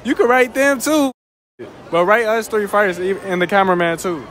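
A man's voice: high-pitched, expressive, moderate pace, a bit bright. A young man running a casual street interview, handheld mic in a shopping mall, easygoing and slangy, echoing answers back as questions. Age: young adult.

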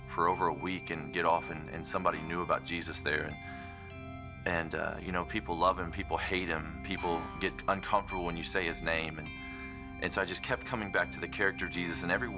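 A man telling his personal faith testimony in a halting, reflective way, often restarting his phrases. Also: sits low. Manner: halting, many restarts and fillers. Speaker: testimony speaker. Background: guitar music.

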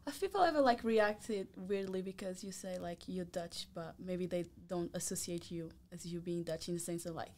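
A woman's voice: fairly low pitch, moderate pace, hardly any pauses, a little mellow, a little hiss or hum. A young woman co-hosting a podcast in casual chat, asking curious follow-up questions. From Portugal. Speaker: podcast co-host.

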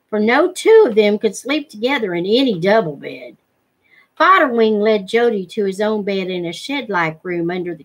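Husky middle-aged woman reading a story aloud. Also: mid-range voice, low-quality recording. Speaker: reader of a novel read-along. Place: living room at home, indoors.

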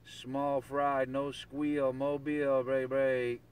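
A man's voice: mid-range voice, little pitch movement, takes it slowly. A man chatting on CB radio, chanting repeated phrases and call signs. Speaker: CB radio operator. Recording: noisy CB radio, partly far from mic.

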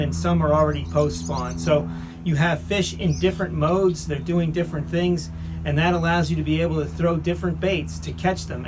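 A middle-aged man with a slightly high voice talks through a fishing video in a plain-spoken, explanatory way. Guitar music plays noticeably behind him. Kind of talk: explanatory vlog talk and narration.

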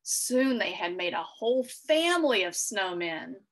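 A middle-aged woman reading a children's storybook aloud, expressive and clearly worded for young listeners. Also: mid-range voice, measured pace. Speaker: read-aloud host for children. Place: small furnished room at home, indoors.

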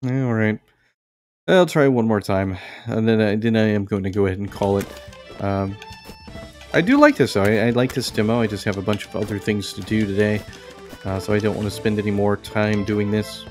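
A man giving casual let's play commentary as a game streamer, thinking aloud in a halting way. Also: fairly low pitch. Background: game music.

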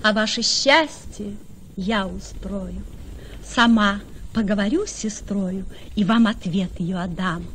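A woman's voice: mid-range voice, takes it slowly, fairly bright tone, a little hiss or hum. An actress playing a proud, scheming young noblewoman in a radio drama, with an imperious, declamatory delivery. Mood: conspiratorial. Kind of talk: theatrical radio drama in verse.

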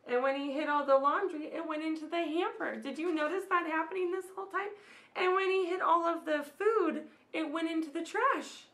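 Woman, thirties, children's storyteller, bright clear-cut tone, expressive read-aloud, echoey home room. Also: extremely high pitch, normal speed. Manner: expressive, addressing children with questions and asides. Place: small room at home, indoors.

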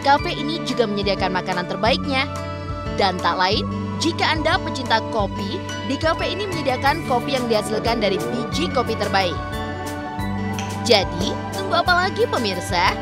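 A woman reading a scripted news voice-over in a lively, promotional way. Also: fairly high pitch, moderate pace. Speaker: news narrator. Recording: voice-over over loud music.

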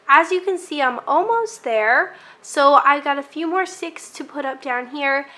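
Bright, high-voiced young woman crafter. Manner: chatty, upbeat, laughs lightly while explaining. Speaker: crafting channel host. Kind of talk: casual voice-over narration of a craft project.

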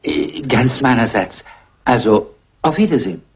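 A man playing a timid, loyal, anxious friend in a dubbed classic mystery film, hesitant and polite, with a raspy voice. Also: medium pitch, animated, a little slow, dull audio, exaggerated voice.

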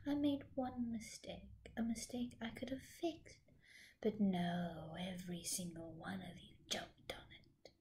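A woman voicing a vengeful, mocking teenage supervillainess in a fan audio drama, breathy and theatrical with drawn-out phrases. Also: mid-range voice, fairly even intonation, a little mellow, a little hiss or hum, natural voice. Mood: bitter, accusing.

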